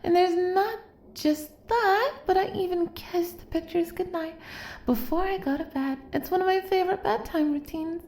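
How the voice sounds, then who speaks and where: A woman's voice: extremely high pitch, normal speed, darkish tone, roomy sound. A woman playing an obsessive, doting young female kidnapper in an intimate roleplay audio, speaking straight to the listener in a cutesy, gushing, coaxing way. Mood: proud, adoring.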